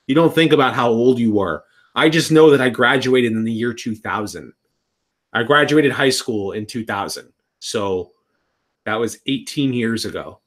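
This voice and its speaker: A man in his thirties streaming from home in relaxed, loose chat with viewers. He rambles along, trailing off and restarting sentences as he goes. His pitch sits in an ordinary middle range. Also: normal speed. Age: 30s. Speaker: live streamer. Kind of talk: casual live-stream chat.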